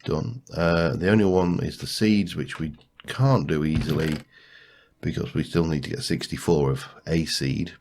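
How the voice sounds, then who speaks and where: A man's voice: a bass voice, moderate pace, a little mellow, slight background noise. A man with a congested, cold-stuffed voice, thinking aloud as he hosts a casual gameplay commentary. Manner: thinks aloud, muttering to himself. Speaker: let's play host.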